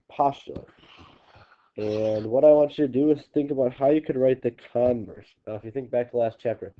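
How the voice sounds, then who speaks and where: A man with a stuffy, congested nose, teaching a geometry lesson on video and explaining step by step with frequent ums and restarts. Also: medium pitch. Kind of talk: recorded classroom video lesson. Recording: slightly dull lo-fi recording.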